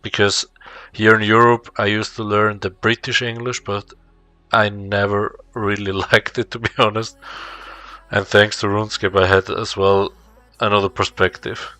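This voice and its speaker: A man's voice: fairly low pitch, little pitch movement, normal speed, pushed and bright, dry recording, faint music behind. A man from Spain whose native language is Spanish, chatting casually and searching for his words as he goes. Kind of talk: casual chat.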